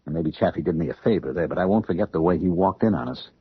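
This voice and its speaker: An American man playing a tormented, submissive young man in a radio drama, earnest and halting, as if confessing. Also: low voice, animated, husky, no room sound, exaggerated voice. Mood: anguished, bitter. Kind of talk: 1970s radio drama acting. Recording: muffled old radio drama recording.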